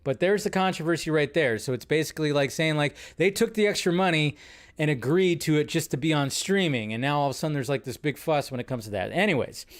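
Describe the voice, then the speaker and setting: A man's voice: a little high, fast talker. A young adult man hosting a live movie news stream, chatty and rambling, a little sleep deprived. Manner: chatty and rambling, loses his train of thought. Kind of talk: casual live-stream commentary.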